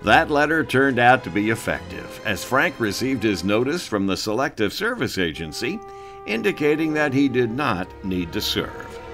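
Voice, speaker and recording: A man's voice: medium pitch, very animated, moderate pace, over music. A man reading scripted narration for a documentary.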